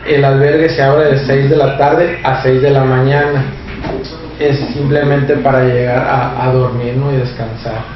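A man's voice: medium pitch, level tone, a little slow. A man, a shelter administrator explaining his work in a casual interview, hesitating often with fillers and restarts, recorded in a small office. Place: small office with a computer, indoors. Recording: distant, echoey and dull camera audio with background noise.

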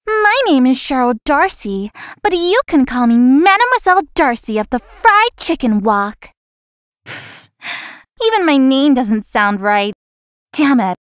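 An actress voices a young female florist for a visual novel, feisty beneath her politeness. Her high voice swings expressively and sounds earnest, recorded close and dry. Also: sing-song, unhurried, clear, resonant tone, no echo. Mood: resentful.

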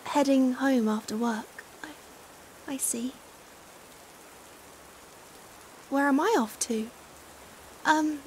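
British lady acting out a fussy caring girl, asmr roleplay, high voice, slow n chatty, rain hiss behind. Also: lightly acted. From United Kingdom. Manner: chatty, expressive, giggly hesitations. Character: caring, fussing young woman, flustered friendly stranger. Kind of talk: acted ASMR roleplay, conversational. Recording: hiss and steady rain sound. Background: rain falling.

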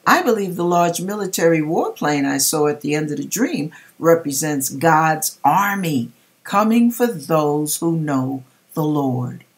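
Middle aged lady reading stuff out at her kitchen webcam, takes her time, kinda low voice, dramatic pauses. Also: expressive. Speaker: vlogger reading a viewer's dream and commenting. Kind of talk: expressive read-aloud with religious commentary. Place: home kitchen.

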